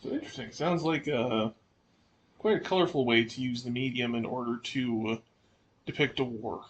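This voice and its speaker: A man's voice: medium pitch, moderate pace. A man co-hosting a podcast in casual discussion, adding thoughts and posing questions. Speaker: podcast co-host. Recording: faint background noise.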